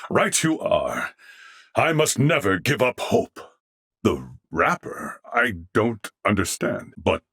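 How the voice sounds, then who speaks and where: An earnest mechanical life form from a video game. The voice sits very low with a husky grain, captured close and dry. Speech is formal, measured and unfailingly polite. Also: male voice, a bit bright, dry recording, exaggerated voice. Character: courteous, earnest adult male mechanical alien life form. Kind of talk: video game character dialogue.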